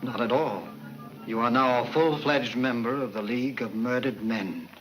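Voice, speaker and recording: An actor playing a cold, composed criminal professor with a formal, measured, menacing manner in a 1940s film serial. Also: male voice, medium pitch, fairly bright tone, lightly acted, rough recording. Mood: calm menace. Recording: old 1940s film soundtrack with music.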